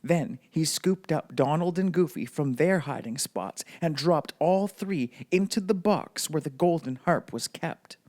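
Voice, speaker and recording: A man's voice: on the high side, unhurried, few pauses, a little mellow. A man narrating a children's bedtime story as a read-aloud storybook reader.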